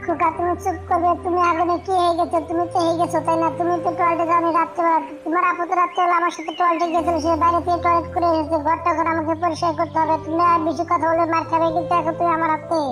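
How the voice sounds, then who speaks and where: Fussy cartoon lady bird played by a woman, very high, little pitch variation, complaining and scolding. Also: monotone, rapid-fire, few pauses. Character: fussy, scolding female cartoon birds, mothers and neighbours. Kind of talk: cartoon story dialogue. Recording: music behind the voice.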